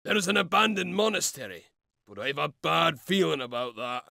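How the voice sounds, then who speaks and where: A man voicing a blunt, loyal and suspicious warrior sidekick in a fantasy video game, with a gravelly, excitable voice. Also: a little high, brisk, no room sound, clean studio sound, heavily acted. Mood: wary.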